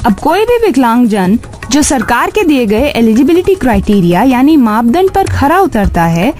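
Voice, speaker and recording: A woman's voice: on the high side, very animated, moderate pace, darkish tone. A woman reading scripted news items as a radio presenter. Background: faint music.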